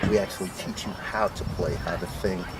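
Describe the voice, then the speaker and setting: A man's voice: low-pitched, fairly even intonation, a little fast. A man with a New York accent, a youth basketball coach giving an earnest, motivational interview. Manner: earnest, motivational, explains patiently. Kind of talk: on-location interview. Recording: distant mic, loud street noise.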